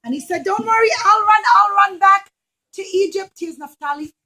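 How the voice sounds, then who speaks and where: A woman teaching young children a religious story in an expressive way, acting out the dialogue and putting questions to her listeners. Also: very high-pitched, normal speed, rough recording. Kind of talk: storytelling puppet show lesson for young children.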